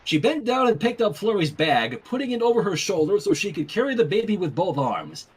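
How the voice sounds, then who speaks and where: Male fan fiction narrator, wry and very lively, slightly husky, breaks off to comment. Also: high voice, fairly quick. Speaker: story reader. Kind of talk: fan fiction reading with casual asides.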